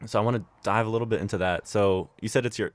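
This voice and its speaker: Low-voiced man hosting a podcast. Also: steady tone, no echo. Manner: halting, many ums and restarts. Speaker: podcast host. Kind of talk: casual interview chat.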